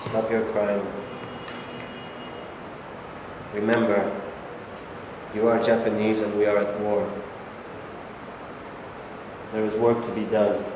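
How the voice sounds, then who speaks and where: A man's voice: lowish voice, little pitch movement, unhurried, long pauses, natural voice. Stern Japanese patriot, played by a man, echoing hall. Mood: stern, resolute. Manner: formal, declamatory speechmaking. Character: stern, solemn Japanese wartime patriot, unyielding and loyal. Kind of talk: staged reading of a stage play. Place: reverberant indoor room at a staged reading. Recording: very echoey hall, faint background noise.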